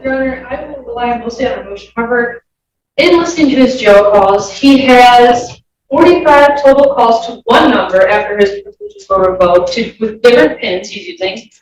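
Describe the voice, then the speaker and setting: Echoey far off lady prosecutor, highish voice, matter of fact, formal court talk. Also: moderate pace, slight background noise. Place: indoor courtroom. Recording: very echoey courtroom, distant mic.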